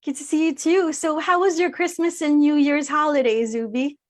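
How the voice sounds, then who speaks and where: High-voiced woman hosting an interview. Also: steady tone, moderate pace. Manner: polite, formal questioning. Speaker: host. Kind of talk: scripted interview questions.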